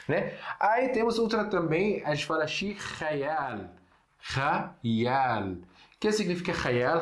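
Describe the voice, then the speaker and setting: Syrian guy, arabic is his first language, teaching a chill lesson, mid voice, kinda echoey room. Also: medium pitch. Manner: explains slowly, stretches example words, frequent fillers and restarts. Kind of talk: casual online language lesson.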